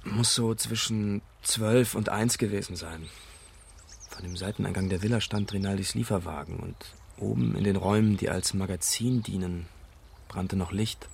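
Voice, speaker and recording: A man playing a calm, reflective young Englishman giving a witness account in a German radio crime drama. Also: fairly low pitch, moderate pace, vocal fry, slight background noise. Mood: reflective, measured. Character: young Englishman, dying uncle's observant great-nephew, calmly forthcoming.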